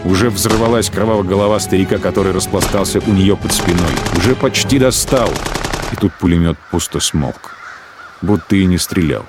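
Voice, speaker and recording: A man with a very deep voice narrates a literary radio drama. His delivery is measured and sombre. Music is clearly present beneath his words. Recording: music bed under narration.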